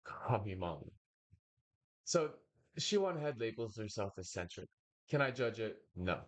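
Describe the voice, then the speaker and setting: Low-voiced man musing slowly on camera. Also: sing-song. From United States. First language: Bulgarian. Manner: slow, hesitant, wordy monologue with pauses. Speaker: reaction streamer. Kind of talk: casual commentary.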